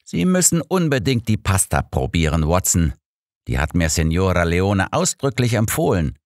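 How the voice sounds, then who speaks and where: A man's voice: sits low, big pitch swings, normal speed, dry recording, clean studio sound, voice pushed up. A man voicing an old master detective in an audiobook, composed, inquisitive and matter-of-fact. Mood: brisk, courteous.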